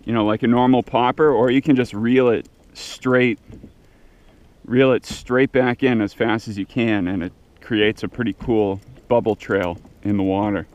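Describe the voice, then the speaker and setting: Low-key man narrating from a kayak. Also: moderate pace, dull audio. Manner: quiet, low-key running commentary, bursts of excitement. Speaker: fishing vlogger. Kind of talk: casual first-person narration while fishing. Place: kayak out on open sea. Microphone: action camera mounted on the kayak.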